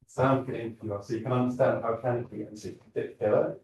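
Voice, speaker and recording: A man hosts a startup demo night from a stage, upbeat and joking with the crowd. His voice comes from a distance in a large room. The echo of the hall is clearly heard around him. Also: medium pitch, steady tone, fast talker, darkish tone. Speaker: event host. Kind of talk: event talk to a live audience.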